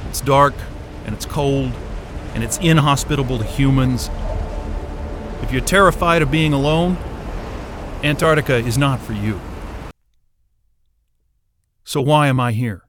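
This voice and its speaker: A man playing a troubled, obsessive astrophysicist unravelling alone at a polar station, intense and halting in a dramatic trailer. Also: medium pitch, noisy background, natural voice. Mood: brooding.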